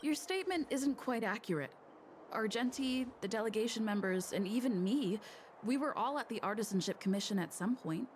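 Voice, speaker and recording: A woman's voice: a little high, expressive, fairly quick, a little hiss or hum, close to an everyday voice. An actress playing a young female suspect who argues back, in a video game. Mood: challenging.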